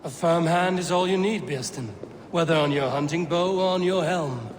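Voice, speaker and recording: An actor playing a blunt, confident Viking warrior in a video game, speaking tersely and dryly. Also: male voice, a little high, moderate pace, in a large hall, a little hiss or hum, natural voice. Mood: teasing. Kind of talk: video game cutscene acting.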